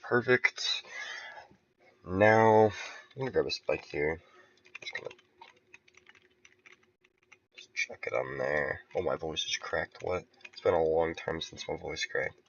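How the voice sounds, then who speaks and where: A man casually talking through his level building on a stream, relaxed and thinking aloud with soft chuckles. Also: a bass voice, takes it slowly, pauses often, muffled recording, a little hiss or hum. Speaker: game level creator streaming. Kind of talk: casual commentary while building.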